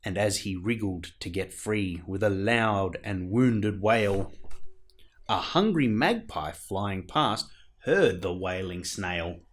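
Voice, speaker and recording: Slow, expressive male storyteller. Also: deep voice. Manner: theatrical, dramatic pauses. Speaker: storyteller, show host. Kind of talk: expressive children's picture-book reading.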